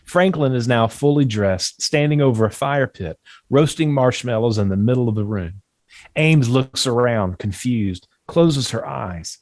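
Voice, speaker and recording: A man narrates the stage directions of a screenplay. His voice is medium in pitch, with a dry sound free of room echo. The delivery is scripted narration. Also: darkish tone.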